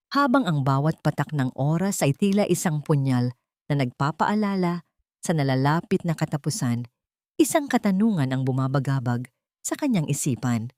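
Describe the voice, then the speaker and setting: A woman's voice: lowish voice, darkish tone, no echo, studio quality. A woman narrating a dramatic scripted story in a solemn, melodramatic manner.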